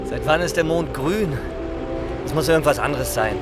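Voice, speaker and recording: A man's voice: fairly high pitch, normal speed, loud music behind the voice, close to an everyday voice. An actor playing a wary, practical stranded man in a German radio crime drama.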